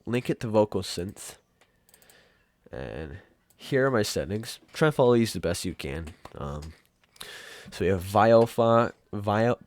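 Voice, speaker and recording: A man giving a casual, off-the-cuff software tutorial in an offhand, rambling and informal way. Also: lowish voice, animated, unhurried. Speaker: tutorial presenter.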